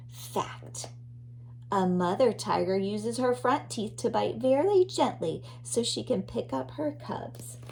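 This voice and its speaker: A woman's voice: mid-range voice, vocal fry, a little mellow, slight background noise. A woman teacher reading a children's nonfiction book aloud expressively, addressing young listeners.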